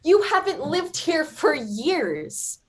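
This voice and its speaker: Young actress doing guarded hurt daughter in a drama, terse, slow talker, very expressive pitch. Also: female voice, full character voice. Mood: shocked, indignant. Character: guarded, wounded young woman, professor's daughter and caregiver. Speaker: student actor. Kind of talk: naturalistic stage drama acting.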